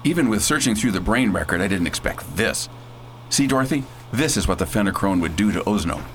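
A man playing a bold scientist who leads a space crew in a radio drama, brisk, folksy and commanding. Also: fairly low pitch, lively intonation, a bit bright, slight background noise. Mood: grave, determined. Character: bold, decisive space-hero scientist and leader.

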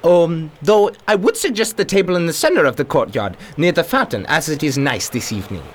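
A man's voice: a little high, very animated, a little fast, a little hiss or hum. A man playing an obliging, slightly flustered café waiter in radio theatre, courteous and deferential.

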